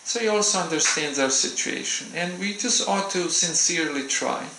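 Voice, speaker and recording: A man gives a devotional talk in a temple hall, speaking gently and unhurriedly with frequent pauses. His voice has a gravelly edge and a bright, forceful tone. The sound rings around a roomy space. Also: a little high, a little hiss or hum. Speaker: visiting swami giving a temple talk. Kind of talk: devotional talk with audience questions.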